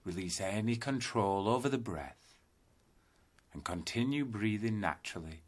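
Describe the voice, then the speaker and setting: A man guiding a yoga nidra meditation in calm, deliberate, instructional phrases with space between them. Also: on the low side. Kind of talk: guided meditation narration.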